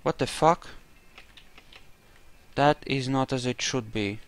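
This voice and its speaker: A man's voice: medium pitch, takes it slowly, clean tone. A man giving casual roleplay playthrough commentary, musing hesitantly as he thinks aloud about what his character believes. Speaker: gaming video host.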